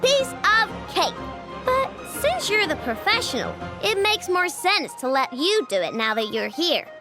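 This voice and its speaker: A woman's voice: very high-pitched, very animated, a little slow, full character voice. A woman voicing a spirited, stubborn young girl inventor in a video game, with a breezy, boastful, coaxing manner. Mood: confident, breezy. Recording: background music behind the voice.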